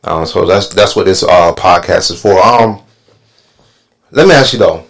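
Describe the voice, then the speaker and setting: A man with a very low voice leads a laid-back podcast discussion. He talks loosely, dropping frequent ums and repeating himself as he goes. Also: moderate pace, vocal fry. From Northern Virginia. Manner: relaxed, filler-heavy with many ums. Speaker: podcast host and barber. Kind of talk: casual panel podcast talk.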